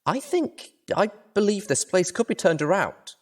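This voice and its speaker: A man's voice: high-pitched, sing-song, moderate pace, pitched above the performer's own voice. A man voicing a small young female pony, an earnest and determined adventurer who speaks emphatically and pleadingly.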